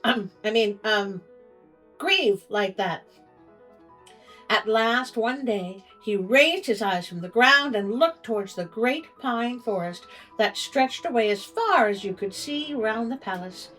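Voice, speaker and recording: A woman reads a storybook aloud in a slightly high voice. Her pace is very unhurried and her delivery is theatrical and tongue-in-cheek. Music plays faintly behind her. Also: lively intonation, a bit bright, poor audio. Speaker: storyteller, show host. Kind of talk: storybook reading with comic asides.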